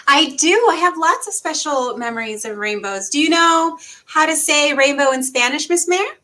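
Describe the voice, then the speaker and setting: A woman's voice: high voice, expressive, normal speed, pushed and bright. A woman co-hosting a children's read-aloud as a librarian, chatting in an eager, agreeable way. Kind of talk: children's storytime chat.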